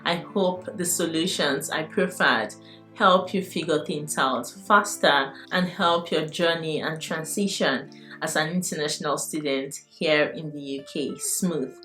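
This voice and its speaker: A woman's voice: low voice, measured pace, bright, forceful tone, rough recording. A woman from Nigeria with a Nigerian accent, giving earnest, encouraging advice in a vlog. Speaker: vlogger. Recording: faint guitar music behind.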